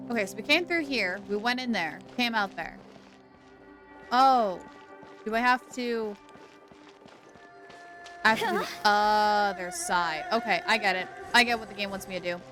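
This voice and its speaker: A woman's voice: medium pitch, a little slow, long pauses. Woman, live game streamer, talks to herself while puzzling, game music underneath. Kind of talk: live gameplay commentary.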